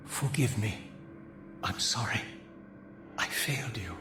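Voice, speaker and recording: An actor playing an intense, remorseful vampire warrior in a dramatic video game scene. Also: male voice, lowish voice, expressive, slow pace. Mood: anguished. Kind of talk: dramatic game cutscene acting. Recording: echoey, loud music behind.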